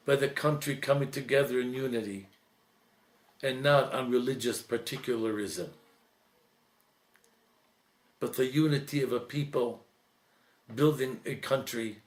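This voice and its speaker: A man, a priest, giving an earnest, reflective talk, slowing and softening at emotional points. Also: medium pitch, roomy sound. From Lebanese heritage.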